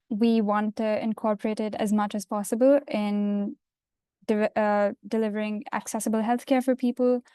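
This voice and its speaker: A woman in her twenties from India, a university student, speaking in a measured, soft-spoken way on a panel. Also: mid-range voice, clean tone, no echo, clean studio sound. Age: 20s. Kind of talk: panel introduction.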